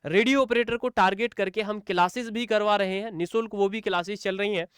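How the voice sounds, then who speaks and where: A man's voice: sits high, steady tone, fairly quick, clear, resonant tone, no echo, studio quality. A man teaching students in an informal walkthrough, repeating points and answering his own questions. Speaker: exam coaching teacher.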